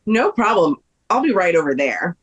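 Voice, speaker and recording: A woman plays a female tour guide in a scripted read. She is polite and explanatory, with very animated intonation. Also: fairly low pitch, moderate pace, breathy edge, dull audio. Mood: explaining. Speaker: actor in a table read.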